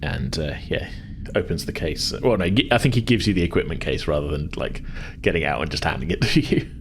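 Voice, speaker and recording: A man's voice: extremely low pitch, brisk, slight background noise. A man narrating an improvised tabletop game as game master, feeling his way through descriptions with hedged, searching phrasing.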